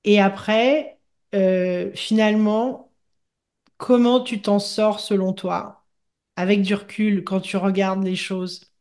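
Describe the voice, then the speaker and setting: A woman hosting an interview, asking gentle, searching questions with frequent restarts. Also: mid-range voice, fairly even intonation, takes it slowly. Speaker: interviewer, host. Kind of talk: interview conversation.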